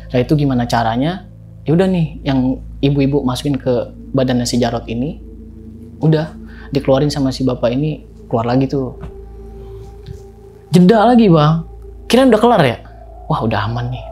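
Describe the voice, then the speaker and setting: A man with a Betawi accent telling a story as a podcast guest, lively and slangy, acting out quotes. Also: mid-range voice. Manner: animated, slangy, acts out quotes, laughs often. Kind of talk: casual spoken storytelling.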